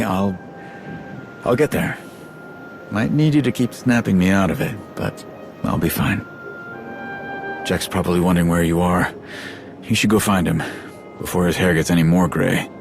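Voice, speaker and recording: A man's voice: deep voice, moderate pace, pauses often. A man playing a disillusioned, loyal warrior in a video game cutscene, blunt and plain-spoken. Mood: troubled, subdued. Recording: loud music behind. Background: music.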